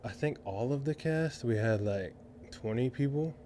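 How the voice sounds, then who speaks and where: A man's voice: mid-range voice, lively intonation, normal speed, dark tone. A man co-hosting a podcast in casual, informal chat, often repeating himself for emphasis. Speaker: podcast co-host, film crew member. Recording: clearly audible background noise.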